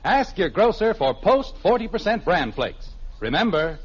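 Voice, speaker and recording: A man's voice: sits high, big pitch swings, normal speed, very noisy. An American man delivering scripted narration and announcements in the style of a 1950s radio show. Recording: muffled vintage radio broadcast recording.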